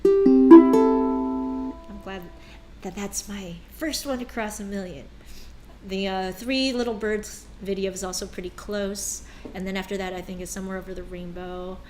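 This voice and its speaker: A woman's voice: mid-range voice, fairly even intonation, unhurried, pauses often, warm tone, soft background music, rough recording. A woman teaching ukulele in a casual live jam lesson, chatty and calling out strum patterns and chords. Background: ukulele playing.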